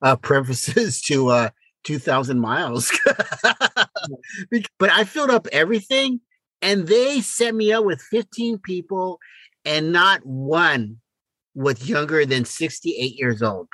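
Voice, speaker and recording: A man with a bright, punchy voice, pitched a little high, talks as a podcast co-host. He chats casually and bluntly, with an animated, joking manner. The sound is close and dry. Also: slow, no room sound, low-quality recording. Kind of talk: casual conversational chat.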